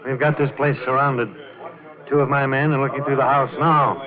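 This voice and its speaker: An actor playing a firm, unbending police sergeant in an old-time radio crime drama, curt, authoritative and matter-of-fact. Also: male voice, medium pitch, level tone, normal speed, phone-quality sound, soft background music, natural voice. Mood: firm, threatening. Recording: thin vintage radio recording, background hubbub.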